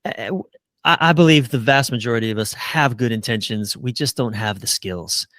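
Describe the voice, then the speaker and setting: A man's voice: mid-range voice, brisk, fairly bright tone, no room sound, studio quality. A middle-aged man giving earnest, casual answers as a relationship coach in a conversational interview. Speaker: relationship coach, podcast guest.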